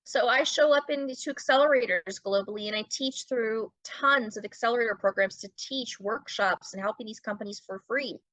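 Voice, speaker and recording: High-pitched, emphatic woman podcast guest. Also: moderate pace, few pauses, fairly bright tone, no echo, highs cut off. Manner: emphatic, asks and answers own questions. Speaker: podcast guest, brand-building business owner and teacher. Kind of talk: conversational podcast interview.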